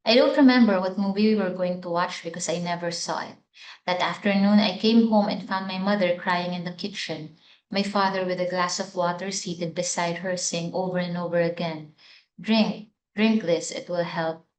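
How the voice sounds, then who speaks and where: A woman reads her own writing in a fairly low voice. The sound is noticeably roomy and echoey, as in a literary reading. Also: steady tone, normal speed. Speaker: writer reading own short story. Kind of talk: literary reading of prepared text.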